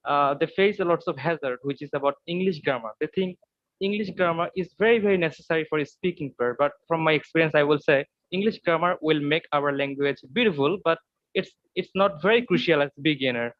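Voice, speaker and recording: A man's voice: fairly high pitch, a little fast, dry recording, muffled recording. A man from Bangladesh, a Bengali speaker with a Bangladeshi accent, talking earnestly in a casual discussion, full of fillers and restarts. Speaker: English learner.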